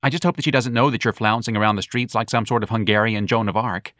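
A man voicing a flamboyant, teasing young man who mocks in a high, gleeful voice. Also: steady tone, quick pace, a bit bright, no room sound, studio quality, natural voice. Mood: catty. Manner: campy, mocking, shrieking.

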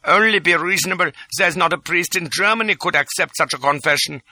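A man's voice: high-pitched, fairly quick, pushed and bright, no room sound, pitched above the performer's own voice, exaggerated voice. A man voicing a timid little male courtier who pleads, whines and is near tears. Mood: pleading. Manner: panicky, whining, near tears.

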